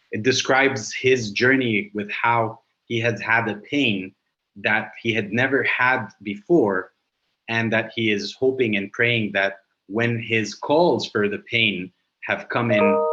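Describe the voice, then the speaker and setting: A man's voice: lowish voice, fairly bright tone, dull audio. A man whose first language is Arabic, giving a webinar presentation over a video call and explaining with frequent hesitations and fillers.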